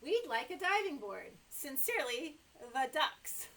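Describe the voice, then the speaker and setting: High, bright American woman talking to toddlers. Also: measured pace. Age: middle-aged. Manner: animated, exaggerated expression for young children. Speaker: children's librarian hosting story time. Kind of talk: story reading and chatting to toddlers. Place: small indoor room with sofa. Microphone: camera a short way in front.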